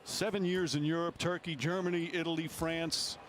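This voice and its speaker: A man's voice: fairly high pitch, level tone, moderate pace. A man giving basketball commentary with player stories, as a play-by-play announcer on a game broadcast. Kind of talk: sports commentary with background stories. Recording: noisy game broadcast with court sounds.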